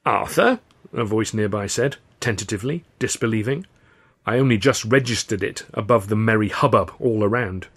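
A low-voiced man narrates his own novel with dry, wry timing. His storytelling is measured and scripted, like an audiobook. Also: slightly rough. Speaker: author and narrator.

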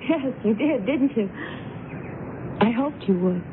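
Innocent young woman, radio play: high voice, sweet, naive, demure, on a noisy narrow-band recording. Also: expressive, takes it slowly. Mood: shy, guileless. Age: young adult. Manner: demure, naive, brief replies. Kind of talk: radio drama acting.

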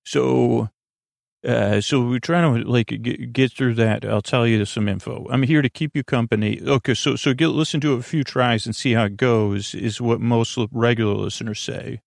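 A man hosting a bedtime podcast in a rambling monologue, halting and stammering with frequent false starts and self-corrections, in a gravelly voice. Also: mid-range voice, fairly even intonation, no room sound, clean studio sound. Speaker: podcast host.

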